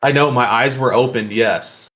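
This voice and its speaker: A man's voice: mid-range voice, normal speed, a bit bright, slightly rough. A man co-hosting a podcast in casual, rambling, jokey conversation. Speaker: podcast co-host.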